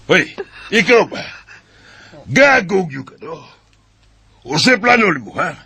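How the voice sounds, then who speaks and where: A man's voice: high voice, big pitch swings, slow pace, vocal fry, breathy edge, dry recording, exaggerated voice. A man acting an angry, hot-tempered relative with a Bisaya Filipino accent, berating someone sharply in a radio drama. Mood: angry, threatening. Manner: sharp, confrontational.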